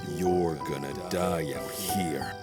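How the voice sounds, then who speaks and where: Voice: low voice, steady tone, music in the background. An actor playing a sinister, taunting inner voice of doubt, menacing and cold, in an audio drama. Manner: slow, menacing. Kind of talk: dark audio drama acting.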